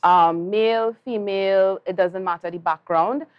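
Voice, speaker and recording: A young Trinidadian woman engineer giving a composed, measured account as a guest in a TV studio interview. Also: mid-range voice, steady tone, clear, resonant tone, lo-fi sound.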